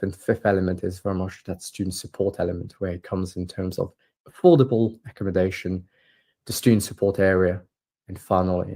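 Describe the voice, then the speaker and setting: Deep gravelly man presenting. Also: warm tone. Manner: formal listing of points. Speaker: university presenter. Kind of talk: scripted training presentation.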